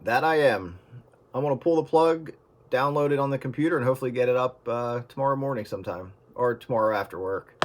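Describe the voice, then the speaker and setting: A man's voice: mid-range voice, fast talker. Casual man hosting over staticky radio. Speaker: host recording a radio round table. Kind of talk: casual chat, signing off. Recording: radio transmission with clear static and clicks.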